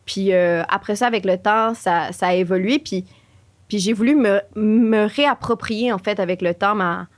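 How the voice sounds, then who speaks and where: A woman's voice: medium pitch, moderate pace. A young woman with a Quebec French accent co-hosting a casual podcast, slangy and slipping English phrases into her French. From Quebec, Canada, of Chilean parents. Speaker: podcast co-host. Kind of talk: casual conversational podcast chat.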